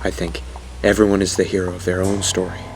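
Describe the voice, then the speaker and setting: Man playing an obsessed, lovesick young man, low-voiced. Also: level tone, fast, slight background noise, rough recording. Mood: brooding. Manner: brooding, desperate, obsessive. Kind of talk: audio drama acting.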